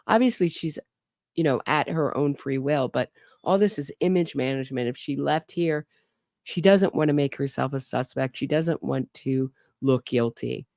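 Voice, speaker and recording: A woman's voice: sits low, a little mellow, dry recording, studio quality. Wry woman commenting on true crime. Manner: wry, skeptical, talks to her audience. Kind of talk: casual commentary over interrogation clips.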